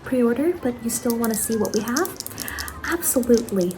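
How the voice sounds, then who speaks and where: A woman playing an eager, apologetic young saleswoman in an ASMR roleplay, speaking softly, politely and a little hesitantly. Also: a little high, lively intonation, moderate pace, background noise, lightly acted. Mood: apologetic, welcoming. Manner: soft-spoken, hesitant, polite customer-service talk. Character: Angeline, eager apologetic young saleswoman at macabre pumpkin stall.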